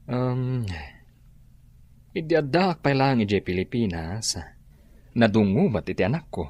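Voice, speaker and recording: A man's voice: medium pitch, expressive, a little slow, a little mellow, no room sound. A man playing a friendly, flirtatious boss and widowed father in a radio drama. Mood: earnest.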